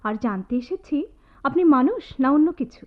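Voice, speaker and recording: A woman's voice: high voice, big pitch swings, fairly quick. Feisty young student girl, swoopy taunting voice, radio drama, thin phone-like sound. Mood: indignant, taunting. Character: spirited, sharp-tongued young woman student, teasing and proud. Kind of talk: Bengali radio drama acting. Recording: tinny phone-like radio drama audio, music behind.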